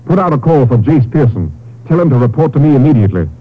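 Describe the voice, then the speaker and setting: An American man playing a brisk, commanding Texas Ranger captain in a 1950s radio drama. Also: medium pitch, lively intonation, natural voice. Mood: decisive. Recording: narrow, noisy old radio broadcast.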